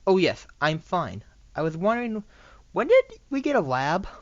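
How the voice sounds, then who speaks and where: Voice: medium pitch, big pitch swings, moderate pace, no echo, close to an everyday voice. Cautious elder pony princess by an amateur reader, lo-fi. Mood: agreeable. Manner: questioning, mildly wary. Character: cautious, worried elder-sister sun princess pony, female. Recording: dull lo-fi microphone recording.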